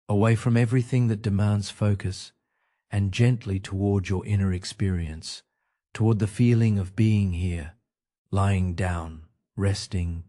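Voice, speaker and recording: A man guiding a sleep meditation in a calm, gentle, soothing manner, speaking in short phrases with pauses. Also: low-pitched. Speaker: meditation guide.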